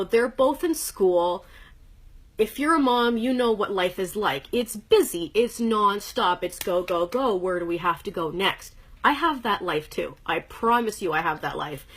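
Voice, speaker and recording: A chatty, upbeat woman in her thirties from Canada, speaking from a corner of her living room in a casual livestream. She talks straight to her viewers with a mid-range voice, greets commenters by name and fills pauses with plenty of ums. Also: medium pitch, a bit bright, poor audio. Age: 30s. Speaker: direct sales presenter.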